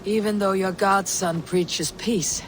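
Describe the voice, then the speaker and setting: A steady Viking leader, calm and earnest, his voice pitched a little high. Heavy rain noise sits behind him in this game cutscene. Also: slow, poor audio.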